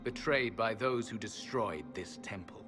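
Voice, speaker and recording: Casting: actor for grave, deep-voiced immortal male elf guardian, world-weary, formal fantasy-game delivery. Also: unhurried, over music, lightly acted. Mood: bitter. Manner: grave, cryptic, formal pronouncements. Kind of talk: dramatic fantasy game acting.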